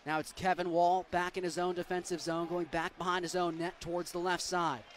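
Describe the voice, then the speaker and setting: A young man calling hockey play-by-play, giving a rapid, steady account that climbs into loud, excited goal calls. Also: high voice, a little hiss or hum. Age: young adult. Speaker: play-by-play announcer.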